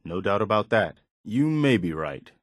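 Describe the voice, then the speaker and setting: Principled militia officer, video game companion, lowish pitch, sincere, plain-spoken, morally firm, dry studio sound. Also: male voice, very animated, normal speed, dry recording. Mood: relieved, earnest, then resigned, doubtful. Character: earnest, principled militia officer, loyal but easily disappointed.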